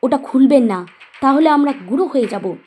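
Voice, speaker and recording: A woman's voice: sits high, expressive, darkish tone, soft background music, pitched above the performer's own voice. A woman acting a resolute, principled young woman in a Bengali audio story, speaking firmly and earnestly. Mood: urgent warning. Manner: firm, earnest, measured.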